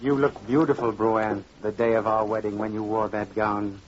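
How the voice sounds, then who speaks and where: A man's voice: on the low side, level tone, lightly acted. Man acting grave fisherman father, dull old radio. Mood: grave, resolved. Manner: halting, earnest, repeating words. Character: grave, careworn middle-aged fisherman father, stern with his son. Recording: dull, noisy vintage radio recording.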